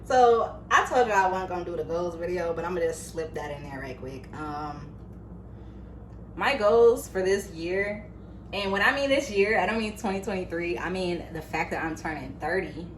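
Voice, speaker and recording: A woman in her late twenties talking to her YouTube viewers in a casual, animated, chatty way, full of fillers. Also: fairly low pitch, moderate pace, slight background noise. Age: late 20s. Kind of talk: casual motivational vlog. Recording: echoey room, faint ambience.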